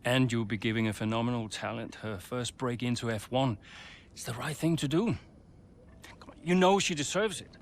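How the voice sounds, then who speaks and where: Guy acting a racing team boss in a game cutscene, medium pitch, sincere, persuasive, natural. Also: normal speed, a little hiss or hum, close to an everyday voice. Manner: reasoning, coaxing.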